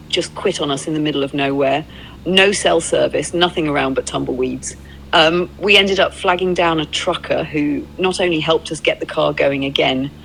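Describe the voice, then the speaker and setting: An androgynous AI companion voice with a noticeably gravelly creak, speaking at a middling pitch. The delivery is fluent, reflective and agreeable, like conversational storytelling, with clear noise behind it. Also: a bit bright, rough recording. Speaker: AI companion playing a road-trip documentarian.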